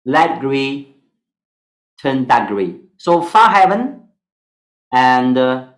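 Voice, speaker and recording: A man with a Singaporean accent, whose first language is Mandarin, teaching an online stock analysis class in halting English full of fillers. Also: mid-range voice, echoey room, rough recording.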